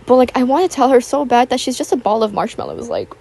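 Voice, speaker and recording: A young woman, raspy from just waking up, rambling chattily over a voiceover and recorded at home on a built-in tablet mic. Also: on the high side, wildly swooping pitch, fast talker, warm tone. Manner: rambling, filler-heavy, chatty. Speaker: YouTuber. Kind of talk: casual chat voiceover. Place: home, with household noise. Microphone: built-in tablet microphone.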